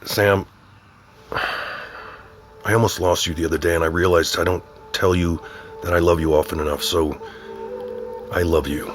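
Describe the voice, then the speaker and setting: A middle-aged man with an American accent acting in an audio drama as a stern, guarded policeman and father, measured and authoritative. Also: sits low, steady tone, soft background music. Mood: tender.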